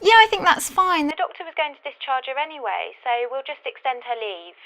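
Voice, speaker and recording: A woman's voice: high voice, sing-song, brisk, a bit bright, heavily acted, poor audio. A woman playing a hospital ward nurse in a training film, speaking in a casual, polite and agreeable manner. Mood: friendly, easygoing. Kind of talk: acted training film scene.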